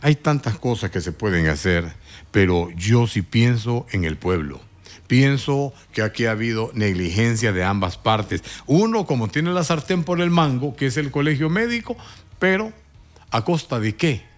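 A man hosting a morning radio talk show, commenting with indignant emphasis and sudden rises in pitch. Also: big pitch swings, normal speed.

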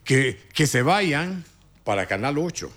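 A man hosting a morning radio talk show, commenting with indignant emphasis and sudden rises in pitch. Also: sing-song, takes it slowly, a bit bright.

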